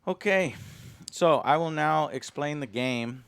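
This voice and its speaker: A man's voice: fairly high pitch, slow pace, gravelly, fairly bright tone, smooth, no room sound. A man hosting a board game, casually explaining the rules and partly reading them aloud. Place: indoor game table on a livestream.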